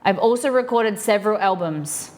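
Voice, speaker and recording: A woman's voice: mid-range voice, expressive, normal speed, darkish tone. Chatty woman streamer in her kitchen. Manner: chatty, reads trivia aloud to viewers. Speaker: streamer host. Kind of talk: casual live-stream chat.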